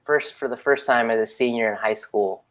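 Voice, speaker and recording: A man's voice: medium pitch, normal speed, a bit bright. A man on a comedy panel, chatting casually and joking.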